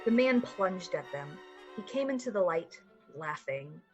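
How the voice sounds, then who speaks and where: A woman's voice: fairly low pitch, lively intonation, a little slow, darkish tone. A woman narrating a suspenseful story in the manner of a 1940s radio play. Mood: measured suspense. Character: literary storyteller of a suspenseful summer-night tale. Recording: slightly muffled, music underneath. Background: music.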